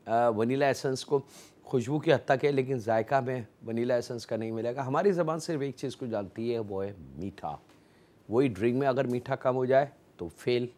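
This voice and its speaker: Male TV cooking host, Pakistani Urdu accent, middle pitch, patient step-by-step explainer, light frying sizzle behind. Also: a little mellow. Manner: addresses viewers politely as janab, explains step by step.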